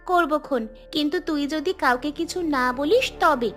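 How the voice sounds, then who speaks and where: Woman playing a very high-pitched, bossy village girl. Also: fairly bright tone, soft background music. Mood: sly, eager. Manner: scolding, quick to make excuses. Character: mischievous bossy village girl, an elder sister. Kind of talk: acted audio story dialogue.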